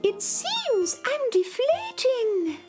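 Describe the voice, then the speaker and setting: Very high, kindly pixie. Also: female voice, huge pitch swings, very slow, darkish tone, full character voice. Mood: calm, surprised. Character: kindly, chirpy female forest pixie. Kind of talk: children's radio drama acting.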